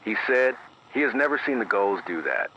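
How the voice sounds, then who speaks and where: An American man playing a careful, sober emergency radio news anchor, reading a scripted bulletin in a measured, deliberate way through an AM radio filter. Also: medium pitch, lively intonation, pushed and bright, muffled recording. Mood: grave, controlled. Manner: measured, deliberate, cautious, repeating key words for weight. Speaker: radio broadcaster. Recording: band-limited AM radio sound with hiss.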